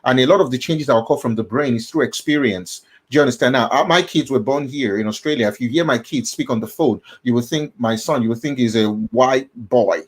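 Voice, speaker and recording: A man's voice: medium pitch, fairly quick, hardly any pauses, slightly rough. Emphatic Nigerian man explaining. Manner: emphatic, repeats key phrases, checks in with the listener. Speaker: host. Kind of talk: explanatory talk on the brain.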